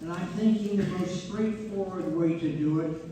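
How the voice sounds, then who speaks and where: A man's voice: sits high, normal speed, dark tone, lightly acted. A man playing a chatty, opinionated narrator who addresses the audience conversationally and rhetorically in a stage read-through. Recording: distant, echoey hall, faint noise.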